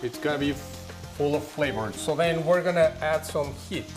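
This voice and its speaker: A man from Mexico City with a Mexican Spanish accent, a guest demonstrating a recipe in a kitchen and talking haltingly with many pauses and fillers. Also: on the high side. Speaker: guest cook. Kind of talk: cooking demonstration. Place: home kitchen. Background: background music and sizzling pan.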